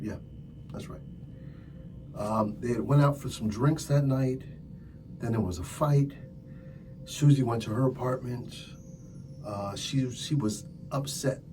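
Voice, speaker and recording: A man playing a calm, methodical, sardonic police detective in an ASMR roleplay, talking deliberately with drawn-out phrasing and wry asides. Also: medium pitch, lightly acted. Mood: matter-of-fact. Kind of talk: slow ASMR roleplay acting. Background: music.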